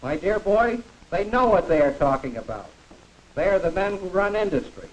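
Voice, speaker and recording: A man's voice: high voice, sing-song, normal speed, rough recording. An actor playing a pompous, emphatic American pro-business man lecturing in a 1940s educational film with a hissy soundtrack. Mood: self-assured.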